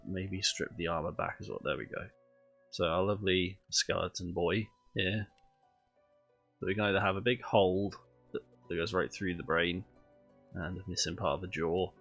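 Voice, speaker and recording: A man's voice: sits low, creaky, slightly rough. Nasal, stuffy-nosed man streaming. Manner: relaxed, thinking aloud with ums. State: stuffy nose from a cold. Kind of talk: casual game commentary.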